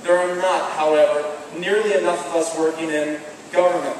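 A man delivers a commencement address in a measured, earnest voice that sits a little high for a man. He speaks at a podium in a big stone hall, and the sound comes from a distant camera, with strong echo, a long reverb tail and clear room noise underneath. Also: flat delivery. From American Midwest. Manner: measured, earnest, deliberate phrasing. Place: large stone hall with flags, indoors. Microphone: podium microphone, filmed from far off. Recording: echoey hall from far off, audible background noise.

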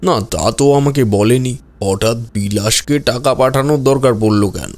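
A man's voice: mid-range voice, unhurried, darkish tone, close to an everyday voice. An actor playing a wealthy male mansion owner questioned as a client in an audio drama. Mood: puzzled. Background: music.

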